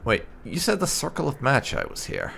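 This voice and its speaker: A man's voice: medium pitch, huge pitch swings, moderate pace, gravelly, fairly bright tone, a little hiss or hum, pitched above the performer's own voice, exaggerated voice. A man doing a sardonic young elf recruit. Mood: dry. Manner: dry and sarcastic. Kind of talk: roleplaying a game character.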